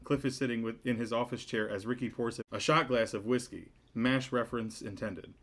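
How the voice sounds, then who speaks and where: Bright-voiced man reading stage directions. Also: medium pitch, steady tone, a little fast. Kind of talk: scripted narration at a table read.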